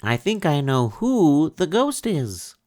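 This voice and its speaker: A high, eager little turtle boy, as a man reading a picture book puts him on. He sounds young and keen, lifted well above the reader's deep voice, with slow, lively read-aloud phrasing. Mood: alarmed.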